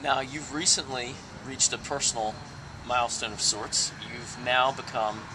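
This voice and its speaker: A man's voice: medium pitch, fairly even intonation, unhurried, noisy background. An American man conducting a casual on-location interview as a sports interviewer.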